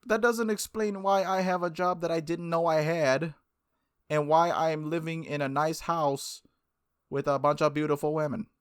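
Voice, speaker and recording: A man's voice: on the high side, flat delivery, moderate pace, clean tone, no echo, studio quality, natural voice. A man playing a confused, defensive young male protagonist in a visual novel, full of indignant questions. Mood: demanding answers.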